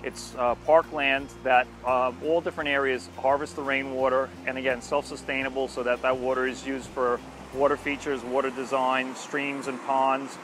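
An older man giving an informal, unscripted explanation to camera outdoors, speaking in a halting, explanatory way. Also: mid-range voice, flat delivery, noisy background. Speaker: landscape company presenter. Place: outdoors at a street corner by a station. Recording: music behind the voice, outdoor recording. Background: music.

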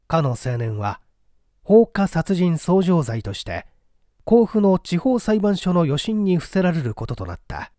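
Male mystery narrator: mellow, animated pitch movement, deliberate theatrical literary delivery. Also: no echo, studio quality. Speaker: story reader.

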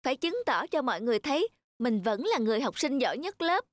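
A woman playing a proud, competitive schoolgirl in an animated cartoon. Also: sits high, fast, no echo. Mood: determined.

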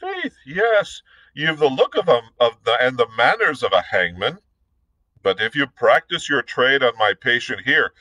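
A man's voice: mid-range voice, sharp tone, no echo, pitched above the performer's own voice, low-quality recording. A man reading aloud, voicing a witty, composed physician. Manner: wry, composed. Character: witty, cool Irish physician, middle-aged man.

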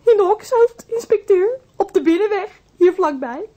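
An actress playing a distraught, timid and deferential housekeeper in a Dutch radio drama, speaking haltingly. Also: female voice, extremely high pitch, animated, warm tone. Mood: nervous, polite.

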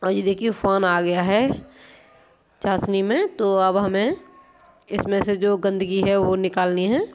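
A woman's voice: mid-range voice, steady tone, measured pace, noisy background. A woman teaching a home cooking recipe step by step in her kitchen, patient and explanatory, often pointing things out as she goes. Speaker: home cooking channel host. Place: home kitchen at a gas stove.

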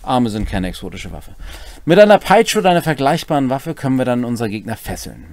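A man's voice: mid-range voice, darkish tone, no echo. A man in his forties explaining tabletop game rules in a conversational way as a co-host and game master. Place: small home room, indoors.

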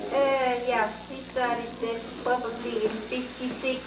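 A woman on a panel, a former lodge owner, telling a story in a measured way. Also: a little high, level tone. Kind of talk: casual storytelling. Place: indoor panel room. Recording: echoey room from far off with background noise.

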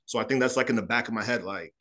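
Fast-talking man on a video call. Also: fairly low pitch. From Chicago, United States. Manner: fast, animated, gestures a lot while talking. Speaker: interview guest. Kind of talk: casual storytelling interview. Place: small room at home.

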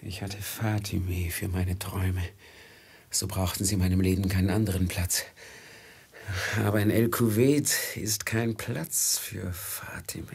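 An actor in a 1950s radio play, playing a feverish merchant haunted by doom, solemn and poetic, often sinking to a whisper. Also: male voice, low voice, fairly even intonation, a little slow, gravelly, darkish tone, husky, full character voice. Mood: fatalistic.

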